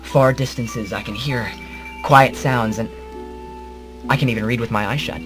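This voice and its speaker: A man playing an earnest, hapless hero who narrates his story in a halting, rambling, melodramatic way in a comedic radio drama. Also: medium pitch, fairly even intonation, over music, natural voice.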